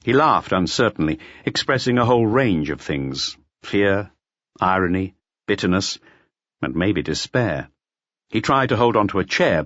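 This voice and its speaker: Low male audiobook narrator, very expressive pitch. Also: no room sound, highs cut off. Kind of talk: scripted mystery narration. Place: close, dry recording.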